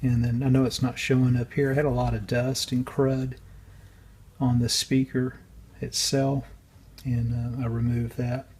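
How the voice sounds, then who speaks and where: Flat-toned man, casual and hesitant, noisy background. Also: mid-range voice, a little slow. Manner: hesitant, unscripted, matter-of-fact. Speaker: radio restorer and video host. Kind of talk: casual workbench walkthrough.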